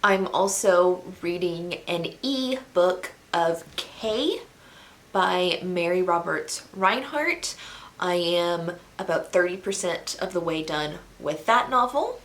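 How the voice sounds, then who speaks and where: A young woman vlogging about books in a relaxed, chatty way, her voice stuffy and congested. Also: lowish voice. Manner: chatty, unhurried. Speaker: book vlogger. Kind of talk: casual vlog chat. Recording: echoey room.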